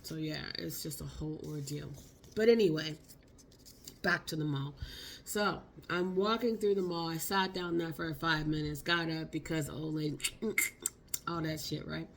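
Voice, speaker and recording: A woman, hoarse from a cold, telling a casual, slangy story for her vlog. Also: sits low, a little slow, slight background noise. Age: 50s. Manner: chatty, expressive, slangy. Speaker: vlogger.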